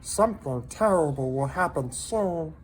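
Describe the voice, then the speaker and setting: Squeaky, anxious little storybook companion. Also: male voice, lively intonation, measured pace, slight background noise. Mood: foreboding. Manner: urgent, worried.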